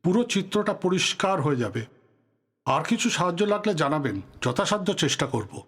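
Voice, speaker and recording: An actor playing a guarded, courteous local politician with an authoritative manner in an audio drama. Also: male voice, on the high side, lively intonation, brisk, a bit bright, slightly rough, heavily acted. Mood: authoritative, helpful. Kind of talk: Bengali audio drama acting.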